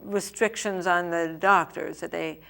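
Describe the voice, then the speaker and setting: A woman's voice: fairly low pitch, steady tone, measured pace, gravelly, a bit bright. A woman hosting a talk show interview, asking questions and murmuring encouragement. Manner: encouraging, frequent affirming murmurs. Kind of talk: conversational interview.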